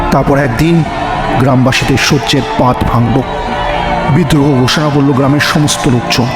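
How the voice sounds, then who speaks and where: Male actor as a calm cartoon history teacher; measured, storytelling delivery, roomy reverb. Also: fairly high pitch, natural voice. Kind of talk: cartoon voice acting. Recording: echoey, roomy long reverb.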